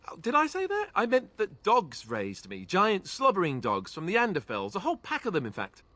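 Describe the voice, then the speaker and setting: An actor playing a wry, self-deprecating young male warrior in a video game, sarcastic and quick to deflect with jokes. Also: sits high, huge pitch swings, pushed and bright, no room sound, full character voice. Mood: wry, joking. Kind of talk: video game character dialogue.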